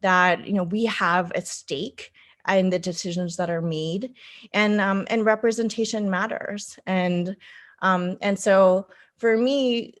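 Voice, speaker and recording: A woman from the United States speaks as an interview guest. Her delivery is conversational and reflective, built in short, halting phrases as she works out each thought. Her pitch sits in an ordinary middle range for a woman. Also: steady tone. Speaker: interview guest, city councilwoman.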